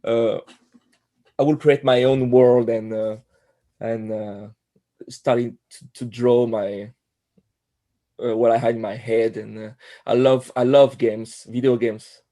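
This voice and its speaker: A man in his forties from Corsica with a French accent, a guest telling his story in a podcast interview, pausing often with fillers and restarts. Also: mid-range voice, little pitch movement, warm tone. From Corsica, France. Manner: hesitant, many fillers and restarts. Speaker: guest, animator.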